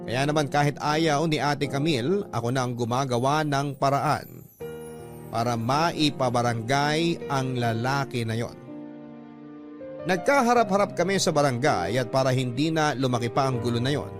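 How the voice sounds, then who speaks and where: A man reading a listener's love-story letter aloud in first person, in earnest radio-drama narration. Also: medium pitch. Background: background music.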